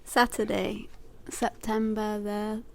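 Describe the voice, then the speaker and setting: A woman's voice: mid-range voice, flat delivery, slow, creaky, darkish tone. A woman reading out scripted date headings for an audiobook diary. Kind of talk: scripted announcing of dates.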